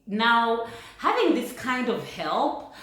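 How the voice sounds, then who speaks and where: A woman from Uganda with a Ugandan accent, talking through points in a casual vlog in a measured, thoughtful way. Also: a little high, big pitch swings, a bit bright. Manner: thoughtful, measured, laughs lightly between points. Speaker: vlogger. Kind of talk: casual vlog talk to camera. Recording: very echoey room.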